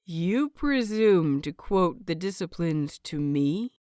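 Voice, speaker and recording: A stern older male grandmaster from an audiobook story, played by a woman, irritable and harsh. He bites off his words slowly and with heavy emphasis, scolding in disbelief. The sound is close and dry. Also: fairly low pitch, animated, dark tone, no room sound. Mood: harsh, indignant. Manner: clipped, emphatic, scolding delivery. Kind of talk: dramatised audiobook reading.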